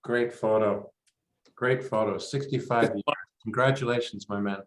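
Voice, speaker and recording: A man on a video call, slowly handing out compliments on photos. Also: lowish voice, fairly even intonation. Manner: warm compliments, slow. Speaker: club member commenting on photos. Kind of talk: casual chat. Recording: echoey room on a video call.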